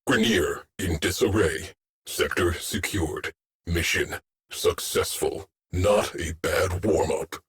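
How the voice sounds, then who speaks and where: Low, husky deadpan ship AI. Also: male voice, exaggerated voice. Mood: matter-of-fact, satisfied. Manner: terse, deadpan, authoritative, dryly wry. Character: stern, deadpan male shipboard artificial intelligence commanding a crew. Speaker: ship AI giving mission alerts. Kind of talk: scripted video game voice lines, clipped military announcements.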